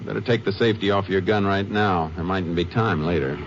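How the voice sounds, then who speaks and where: An actor playing a steady Texas Ranger in a 1950s radio drama, calm, firm and matter-of-fact, also narrating. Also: male voice, sits low, a little fast. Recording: muffled vintage radio with faint hiss.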